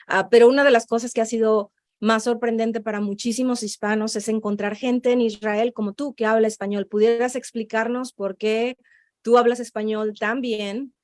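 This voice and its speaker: A woman's voice: on the high side, little pitch movement, normal speed, darkish tone, dry recording, studio quality. A woman hosting an interview, restating and summarizing her guest's answers. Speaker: interview host.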